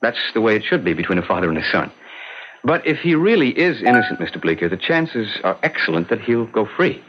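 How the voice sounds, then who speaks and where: Low-voiced, reluctant defense lawyer. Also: male voice, big pitch swings, slight background noise. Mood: reluctant, reassuring. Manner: measured, polite, reasoning. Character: weary, reluctant male criminal defense lawyer, reasonable and courteous. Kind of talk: old-time radio drama acting. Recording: dull lo-fi old radio recording.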